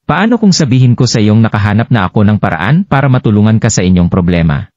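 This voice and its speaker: A man's voice: fairly low pitch, very animated, fast, darkish tone, dry recording. Man acting a sly, eager young Filipino husband. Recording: dull lo-fi sound.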